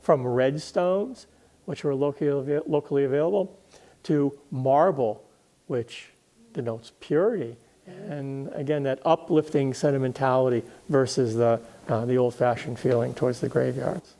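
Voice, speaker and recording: A man speaking as a guest historian, explaining literature and history in deliberate, emphatic phrases with pauses. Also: medium pitch, mellow.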